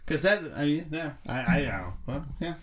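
A man's voice: fairly high pitch, lively intonation, a little fast. Rambling man in casual podcast chat. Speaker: podcast co-host.

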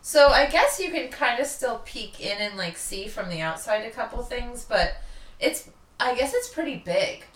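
Bright-voiced woman in an echoey room. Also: fairly high pitch, lively intonation, moderate pace. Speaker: podcast co-host. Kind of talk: casual chatty storytelling about local history. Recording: roomy echo, distant from mic.

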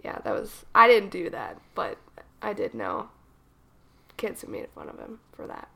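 A woman's voice: medium pitch, huge pitch swings, moderate pace, gravelly, bright, forceful tone, slightly rough. A young adult woman co-hosting a casual chat podcast, sounding a little froggy from a cold and telling rambling stories. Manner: chatty, rambling storyteller. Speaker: podcast co-host.

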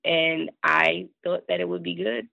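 A woman in her thirties, raspy from a cold, chatting easily as a podcast guest and laughing often. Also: lowish voice, unhurried, no room sound. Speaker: podcast guest, business consultant. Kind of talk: casual interview talk. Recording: tinny phone-line sound.

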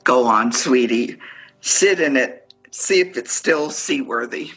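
A woman's voice: very deep voice, lively intonation, slow, a bit bright, faint music behind, exaggerated voice, rough recording. A woman acting in a radio play as a matter-of-fact sibling who runs a family auction with playful, orderly calls. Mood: gentle. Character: brisk sibling playing auctioneer at family estate sale.

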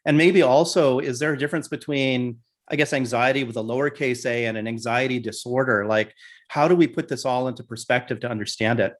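A man with a middle-pitched voice hosts an interview. He asks his questions in a relaxed, conversational way and probes for detail. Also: fairly quick. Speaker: interview host.

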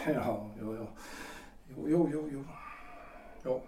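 Gustav, a man playing a halting politician. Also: mid-range voice, warm tone, recorded from a distance, lightly acted. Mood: uneasy. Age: 40s. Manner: hesitant, halting, full of fillers. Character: hesitant 43-year-old lawyer and politician, Gustav. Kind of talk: audio drama acting. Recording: echoey room, voice off-mic.

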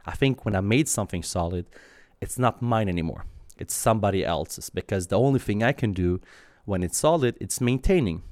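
A man in his thirties from Sweden, answering interview questions in a thoughtful, halting way with many fillers and restarts. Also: on the low side, animated, a little mellow, no echo. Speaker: guest.

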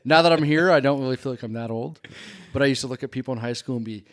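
A man's voice: medium pitch, very fast. A man in his forties, a photographer, chatting as a podcast guest in a friendly, self-deprecating way with light jokes. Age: 40s. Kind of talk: casual conversational interview.

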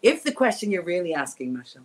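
A woman's voice: on the low side, big pitch swings, fast, breathy edge. A woman sportscaster, a guest on a remote interview, talking in an emphatic, reflective way and repeating her key words. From Barbados. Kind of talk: casual interview chat. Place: remote call from home.

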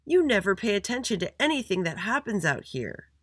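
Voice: mid-range voice, animated, brisk, no room sound, studio quality, voice pushed up. A storyteller voicing indignant schoolchildren who snap and accuse in a children's story. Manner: shouting, snappy, accusing. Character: indignant schoolchildren confronting a bossy monitor.

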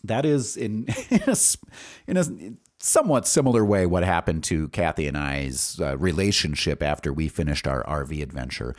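A man's voice: a bass voice, animated, normal speed. An American man hosting a podcast, telling stories from his own life in a casual, candid, rambling way with some swearing. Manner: rambling, candid, profane, thinking aloud. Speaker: podcast host. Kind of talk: casual autobiographical storytelling.

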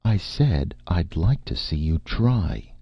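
Man putting on a feisty, high-pitched exasperated woman. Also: very animated, gravelly, husky, no echo, dull audio, exaggerated voice. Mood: taunting. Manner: scolding, brisk, emphatic. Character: feisty, exasperated young woman, bossy, sharp-tongued talent agent.

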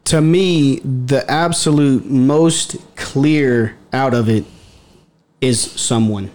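A man's voice: medium pitch, very slow, a little mellow. A man on a paranormal investigation team, talking casually and emphatically, often repeating himself. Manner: repetitive, restarts often, emphatic. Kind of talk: casual group discussion.

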